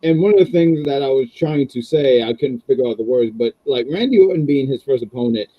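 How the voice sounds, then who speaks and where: A man's voice: medium pitch, a little fast, dry recording, dull audio. A man, co-hosting a casual wrestling review, rambling and chatty with lots of ums. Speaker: podcast co-host.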